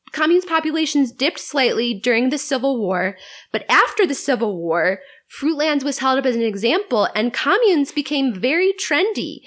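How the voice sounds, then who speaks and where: A woman telling a semi-scripted story on a podcast in a conversational, articulate, lightly wry way. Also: a little high. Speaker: podcast guest.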